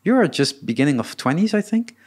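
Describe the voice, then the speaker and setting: A man's voice: on the high side, fairly quick. Chatty male interview host. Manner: relaxed, chatty, stumbling over words. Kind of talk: casual interview chat.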